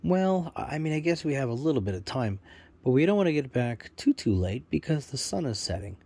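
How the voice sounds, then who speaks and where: A man's voice: medium pitch, very animated, a little fast, warm tone, breathy edge, dry recording. A man voicing a polite young boy on a forest adventure in a children's bedtime story, hesitant and marveling. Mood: grateful.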